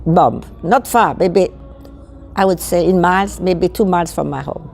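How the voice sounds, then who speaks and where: An elderly woman from Belgium whose first language is French, speaking English with a Belgian French accent. Her voice is low, dark and gravelly. She searches for words and repeats herself as she recalls her childhood. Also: normal speed, faint music behind. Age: 80s. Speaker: guest. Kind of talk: recounting childhood memories.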